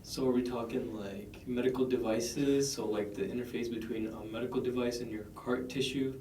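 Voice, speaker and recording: A man's voice: medium pitch, fairly even intonation, normal speed, warm tone, slight background noise. A man co-hosting a podcast, asking loose, friendly questions in a casual chat. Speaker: podcast co-host. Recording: echoey room, far from mic.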